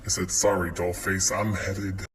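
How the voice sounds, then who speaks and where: Man playing a low-voiced noir detective. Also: flat delivery, unhurried, a bit bright. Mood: world-weary. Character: hardboiled noir detective narrating his case in rhyme. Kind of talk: rhyming film-noir parody narration. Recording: noisy homemade phone clips.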